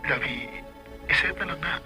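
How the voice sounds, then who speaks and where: A man's voice: on the high side, normal speed, music in the background, close to an everyday voice. An actor playing an ardent, pleading man in love in a radio drama. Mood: pleading, longing. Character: ardent, pleading male lover.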